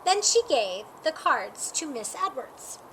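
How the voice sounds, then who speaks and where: A woman with a high, very lively voice reads a picture book to children. She stresses and stretches key words in an expressive storytime delivery. There is noticeable background noise in the recording. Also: rough recording. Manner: animated, emphatic, drawn-out key words. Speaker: storytime reader.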